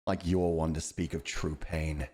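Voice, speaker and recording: A man's voice: extremely low pitch, level tone, unhurried, mellow. A man playing a loyal, principled royal commander in a fantasy audio roleplay, speaking in a grave, formal and dutiful manner. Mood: indignant, threatening.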